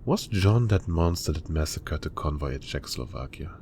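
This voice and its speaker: A businesslike intelligence handler with a very deep voice, played by a man. He briefs his agents in a crisp, official tone, and a faint hiss sits under the recording. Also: expressive, normal speed, a little mellow, exaggerated voice. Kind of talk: cinematic audio roleplay acting.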